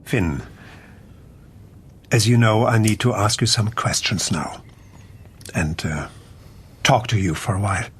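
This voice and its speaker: An actor playing a calm, principled doctor in a radio play, speaking in a measured, formal, explanatory way. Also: male voice, fairly low pitch, breathy edge. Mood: gentle, businesslike. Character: calm, principled male doctor at an assisted-dying clinic.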